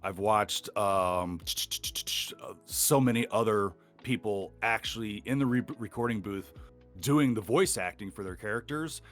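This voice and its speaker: A middle-aged man speaks in a low register with the slight growl of a longtime smoker. He rambles bluntly and casually through a podcast interview as a voice actor, with faint music playing underneath. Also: a little slow.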